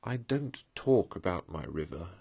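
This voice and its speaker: A man voicing a patient, loyal male water rat, speaking softly and earnestly. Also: low voice, sing-song, unhurried, creaky, husky, pitched below the performer's own voice, exaggerated voice. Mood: wistful.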